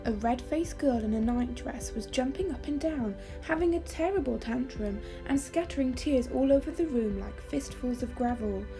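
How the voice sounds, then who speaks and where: A woman storyteller giving a calming, expressive, measured reading of a children's fairy story. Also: high voice, over music.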